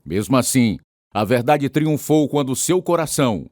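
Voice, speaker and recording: A man's voice: mid-range voice, lively intonation, normal speed, a little mellow, no echo, clean studio sound. A man with a Brazilian accent giving scripted, solemn dramatic narration as a radio programme announcer.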